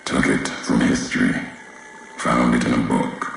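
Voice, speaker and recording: An actor playing a brooding, fatalistic warrior courier in a video game, speaking solemnly in clipped, cryptic phrases with a very deep gravelly voice. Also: male voice, level tone, unhurried, a bit bright, heavy reverb, a little hiss or hum, full character voice. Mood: solemn, defiant. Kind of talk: video game character dialogue, slow cryptic monologue.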